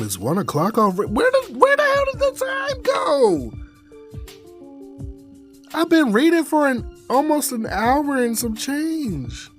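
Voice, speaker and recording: A man reading a visual novel aloud as a streamer, with dramatic, deliberate phrasing. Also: high voice. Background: music.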